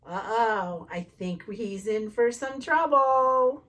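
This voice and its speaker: A young woman, a speech therapist, reading a picture book aloud to preschool children in warm, expressive teacher talk. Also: a little high, slow pace.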